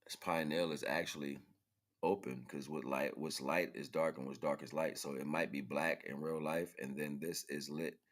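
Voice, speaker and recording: A man hosting a livestream, giving casual, rambling spiritual commentary in informal, slangy, halting fragments. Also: extremely low pitch, fairly even intonation. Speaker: livestream host.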